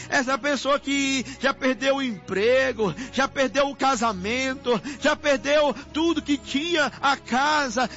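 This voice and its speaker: A Brazilian man leads an impassioned, improvised prayer in an extremely high, forceful voice. He pleads insistently and circles back to the same invocations. The recording is dull and lo-fi. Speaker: preacher leading a prayer. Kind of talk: fervent extemporaneous intercessory prayer. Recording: muffled lo-fi audio with music behind. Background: music under the voice.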